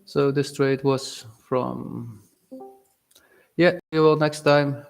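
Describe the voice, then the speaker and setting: Gravelly-voiced man on a live stream. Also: mid-range voice, steady tone, faint music behind. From Belgium. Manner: casual, halting, reads chat aloud with fillers. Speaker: day trader streaming live. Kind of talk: live-stream trading commentary.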